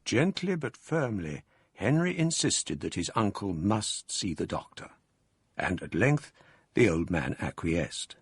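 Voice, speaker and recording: Formal period story, deep husky voice, dry close sound, lively inflection. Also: male voice, unhurried, no echo, exaggerated voice. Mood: reflective. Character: grown man recalling past events. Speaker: narrator. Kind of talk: scripted radio drama narration.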